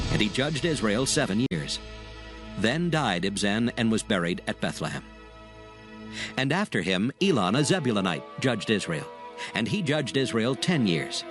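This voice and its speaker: A man narrating dramatized scripture in a solemn, formal, measured storytelling manner. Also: medium pitch.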